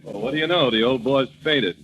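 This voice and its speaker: Actor as unruffled scheming butler, medium pitch, dry comic delivery on a noisy vintage radio recording. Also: male voice, a little fast, close to an everyday voice. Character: flippant, oily male butler and accomplice. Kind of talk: old-time radio comedy acting. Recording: noisy old radio broadcast.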